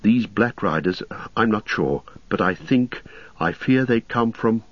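A man's voice: lowish voice, lively intonation, a little slow, no room sound, lo-fi sound, voice pushed up. A man voicing a polite, anxious young traveller who speaks earnestly and plainly.

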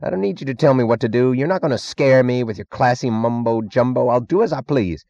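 A man's voice: lowish voice, sing-song, fast talker, dry recording, dull audio. A man voicing a drunken, swaggering thug boss in an audiobook, belligerent and sneering with slangy bluster. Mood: snarling, bullying. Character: drunken swaggering thug boss, loud, defiant, secretly scared. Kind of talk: audiobook character acting.

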